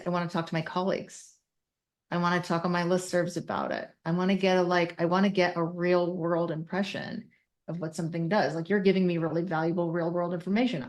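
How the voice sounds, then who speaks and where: Frank, lower-voiced woman talking shop. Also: a little mellow. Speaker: podcast guest, psychiatric clinician. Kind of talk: casual expert conversation.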